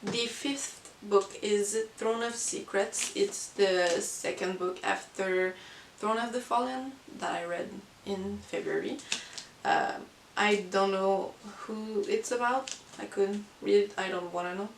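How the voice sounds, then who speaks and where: Woman book vlogger, english not first language, casual halting chat, echoey room, bit far from mic. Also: mid-range voice. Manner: hesitant, halting delivery. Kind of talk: casual chat to camera about books. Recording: roomy, distant mic with hiss.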